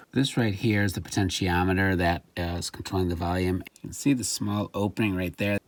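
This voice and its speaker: A man's voice: deep voice, a bit bright. A man narrating a casual hands-on repair demonstration, plain-spoken and understated, thinking aloud as he goes.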